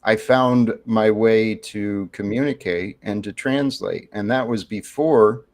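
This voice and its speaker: A man's voice: fairly low pitch, slow pace. A man speaking as a debate guest, making earnest, idealistic declarations. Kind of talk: informal debate.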